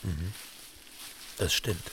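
An actor in a radio drama playing a reserved, skeptical man who speaks quietly, tersely and dryly. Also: drawn-out words. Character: reserved, wary East German man, laconic and skeptical. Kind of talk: understated radio drama acting. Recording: distant voice with clear outdoor noise.

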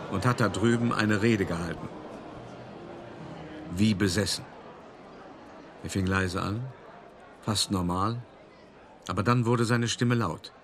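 An actor playing an older, cultivated art dealer who recounts his past in a measured radio play monologue with dramatic pauses. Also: lowish voice.

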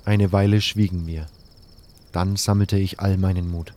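A man's voice: sits low, flat delivery, moderate pace, a little mellow, slight background noise. A man narrating a scripted first-person story for an audio drama.

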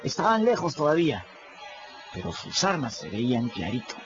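A man with a Peruvian accent narrating a story in the first person in an expressive, storytelling manner. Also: mid-range voice, normal speed. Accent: Peruvian Spanish. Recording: dull audio with music underneath.

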